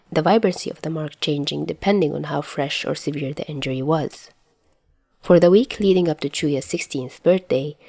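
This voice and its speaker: A woman's voice: low-pitched, dark tone, no echo. A woman narrating written fiction in a scripted audiobook style. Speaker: narrator reading fan fiction.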